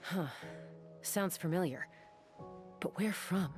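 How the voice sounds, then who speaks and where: A woman's voice: on the low side, takes it slowly, a little mellow, soft background music. A woman playing a tough, commanding young gunslinger in a fantasy game, stern and probing. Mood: pensive. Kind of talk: video game dialogue acting.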